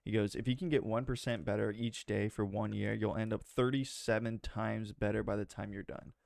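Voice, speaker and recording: Laid-back male book-club member, low gravelly voice, trails off with ums. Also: moderate pace, no echo, clean studio sound. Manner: relaxed, hesitant with ums. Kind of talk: casual book discussion.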